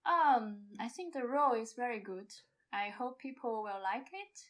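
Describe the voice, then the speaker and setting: A woman answering casual interview questions as a guest, with hesitant, halting non-native phrasing. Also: fairly high pitch, animated, a bit bright. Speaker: podcast guest, amateur audio play actor.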